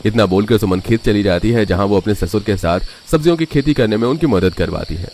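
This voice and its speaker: A man's voice: fairly low pitch, quick pace, a little mellow, a little hiss or hum. A man reading scripted narration for a cartoon family story as the storyteller.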